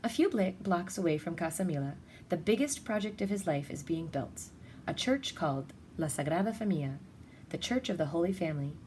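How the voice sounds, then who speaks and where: A woman reading a children's picture book aloud in an expressive, deliberate storytelling manner. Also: on the low side, a little hiss or hum. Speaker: storybook reader.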